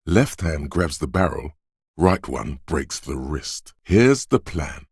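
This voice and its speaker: A man voicing an inner voice of physical instinct in a video game, advising and narrating in a deliberate, precise, instructing way. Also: extremely low pitch, big pitch swings, darkish tone, breathy edge, no room sound, studio quality, exaggerated voice. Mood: urgent, tactical. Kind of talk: video game voice-over, second-person narration.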